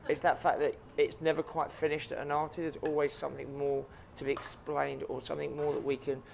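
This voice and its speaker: A man's voice: fairly high pitch, little pitch movement, fairly quick, creaky, a little hiss or hum. A man, a chef and restaurant owner answering interview questions earnestly, with frequent ums and repeated words.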